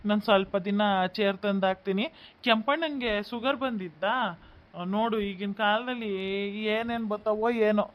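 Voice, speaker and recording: A man's voice: sits high, moderate pace, natural voice. A man playing an obliging, chatty village tea seller in a rural drama, recorded in dull lo-fi sound.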